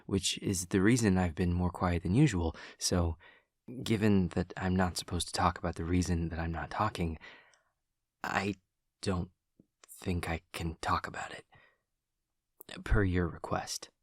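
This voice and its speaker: A man playing an awkward, low-voiced, earnest demon classmate. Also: fairly even intonation, dry recording, clean studio sound. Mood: careful, literal. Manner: formal, precise, over-explaining, hesitant pauses. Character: awkward, earnest, literal-minded male demon classmate and friend. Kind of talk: intimate audio roleplay acting.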